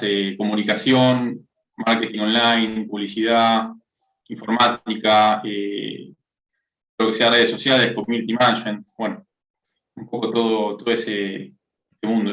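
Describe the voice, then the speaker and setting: Low-voiced man in an echoey room. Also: a bit bright. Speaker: masterclass participant. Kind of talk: informal self-introduction. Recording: echoey room on online call.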